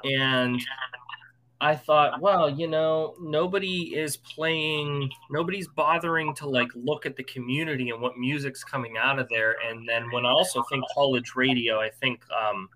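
A man's voice: medium pitch, fairly even intonation, normal speed, bright, forceful tone, a little hiss or hum, low-quality recording. A man with an American accent talking casually as a musician being interviewed.

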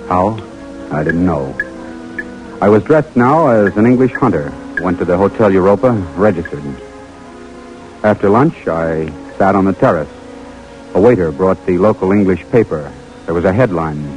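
Radio play lieutenant, forties: low, restrained, measured military delivery, soft music beneath. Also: male voice, expressive, poor audio. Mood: measured, suspenseful. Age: middle-aged. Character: composed, dutiful forty-year-old army lieutenant narrating his mission. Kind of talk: old-time radio drama acting and narration. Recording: old radio drama, faint music.

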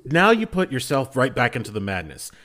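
A man's voice: mid-range voice, huge pitch swings, fairly quick, fairly bright tone. A man chatting casually as a podcast guest, emphatic and stammering in mock exasperation. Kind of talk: casual bantering chat.

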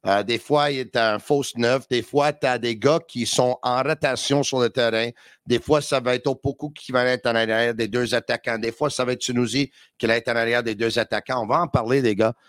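A man with a Quebec French accent hosting a sports podcast, lively and joking, with quick asides. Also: medium pitch, no room sound, clean studio sound. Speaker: podcast host. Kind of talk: casual sports talk.

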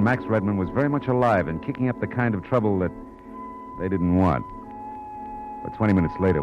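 A man's voice: rumbling low, exaggerated voice. A man acting a tough, wry private detective in a 1940s American radio drama, clipped and dryly sarcastic. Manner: clipped hardboiled delivery, dry sarcasm. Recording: muffled vintage radio recording with music.

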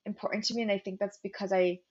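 A chatty woman founder who grew up speaking Korean first answers questions in a relaxed, talkative way, with many "like" fillers. Her voice sits in a middle register. The audio is a little dull and lo-fi. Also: mid-range voice, very fast, breathy edge. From United States. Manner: chatty, frequent "like" fillers, laughs easily. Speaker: beverage company founder. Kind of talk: casual interview answers.

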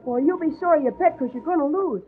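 A high, excitable voice through tinny, faintly noisy old radio sound: a woman acting a cheeky American boy. He boasts and argues in eager slang. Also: fast, lightly acted. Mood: cheeky, insistent.

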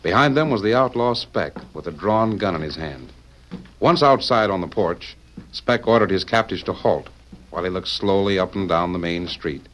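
A man's voice: deep voice, animated, moderate pace, a bit bright, a little hiss or hum, poor audio. A man narrating an old radio Western as its announcer, in scripted storytelling style. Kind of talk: 1940s radio drama narration.

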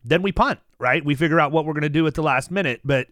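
A man hosting a casual podcast, talking animatedly with many restarts and making pitches to his listeners. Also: fast talker, a bit bright, no room sound. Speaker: podcast host.

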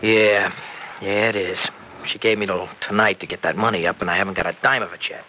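An actor playing a worried, hard-up young husband in an old radio soap, talking in a hesitant, colloquial, placating way. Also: male voice, low-pitched, fairly even intonation, a little fast, bright, forceful tone. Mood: troubled. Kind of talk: 1940s radio soap opera acting. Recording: faintly noisy vintage radio drama recording.

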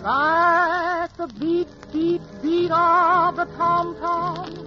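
Woman singer, very high, very slow crooning, little pitch movement, band behind, dull old recording. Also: little pitch movement, faint music behind. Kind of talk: slow sung ballad with orchestra. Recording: muffled old 1940s radio recording with band.

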